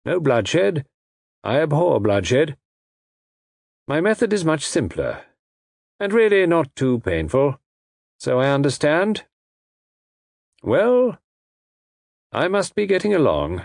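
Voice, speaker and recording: A man performs a suave, unseen male villain from a suspense audiobook, pitched high and husky. He speaks with formal precision and mock regret, courteous on the surface and coldly menacing beneath, in a dry close recording. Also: big pitch swings, takes it slowly, pauses often, darkish tone, no echo, heavily acted. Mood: polite, menacing.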